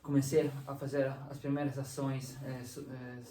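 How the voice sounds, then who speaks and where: A man's voice: medium pitch, level tone, normal speed, warm tone, slight background noise. Italian man speaking hesitant Portuguese. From Italy. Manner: hesitant, many fillers and restarts. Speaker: guest. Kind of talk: casual interview conversation. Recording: echoey room, mic at a distance.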